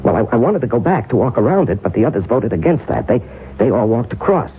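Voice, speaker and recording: An actor playing a frightened novelist who stammers nervously, in a 1970s radio drama. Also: male voice, medium pitch, very animated, quick pace, natural voice. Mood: anxious. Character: frightened male writer who feels followed. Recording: lo-fi radio broadcast with hiss.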